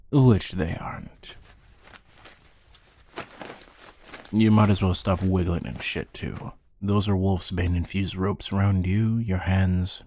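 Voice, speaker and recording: A man playing a bored, menacing hired interrogator in an ASMR roleplay, speaking in a deadpan, condescending, taunting way with a husky voice. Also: low-pitched, no room sound, studio quality. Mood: cold, menacing.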